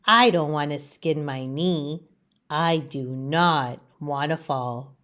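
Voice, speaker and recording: Woman playing a small, soft-voiced worried leaf. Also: deep voice, takes it slowly, pitched below the performer's own voice. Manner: soft, anxious. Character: small worried leaf, gloomy and afraid to fall. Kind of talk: children's storybook character voice.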